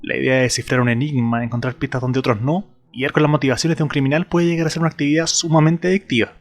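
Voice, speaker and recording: A man's voice: medium pitch, steady tone, fast. A man with a Chilean accent, hosting his channel with scripted video essay narration addressed to viewers. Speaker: YouTube channel host.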